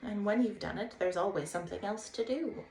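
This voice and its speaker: Woman voicing a high, emphatic storybook water rat. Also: fairly quick, vocal fry, a little mellow, close to an everyday voice. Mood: carefree, rhapsodic. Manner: emphatic, rhapsodic, hearty. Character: cheerful male water rat, dreamy boating enthusiast. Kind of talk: children's storybook read-aloud. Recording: roomy echo, faint background noise.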